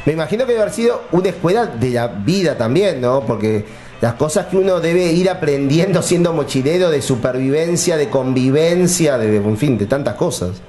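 A man's voice: a little high, animated, moderate pace, mellow. A man hosting a radio interview, chatty and laughing easily as he asks questions. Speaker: interviewer, host.

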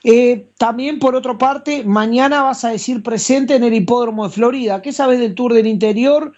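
A woman's voice: fairly high pitch, moderate pace, a little mellow. A woman with a Uruguayan accent hosting a radio show and interviewing a guest, on dull broadcast audio.

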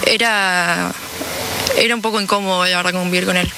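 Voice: medium pitch, steady tone, brisk, vocal fry, heavy background noise. A speaker answering interview questions hesitantly, with many fillers. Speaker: interviewee, former schoolmate.

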